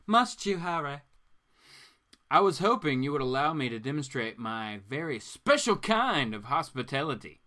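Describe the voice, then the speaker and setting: A man's voice: fairly high pitch, big pitch swings, moderate pace, pushed and bright. Man reading as a coaxing villainess. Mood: coaxing, seductive. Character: seductive, coolly inviting female villain.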